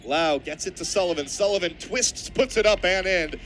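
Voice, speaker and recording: A young man with a high-pitched voice calls basketball on the radio. He describes each play in running detail and swells on the big moments, over light background noise from the game. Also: normal speed, a bit bright. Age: 20s. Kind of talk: live radio sports commentary. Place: courtside at basketball games.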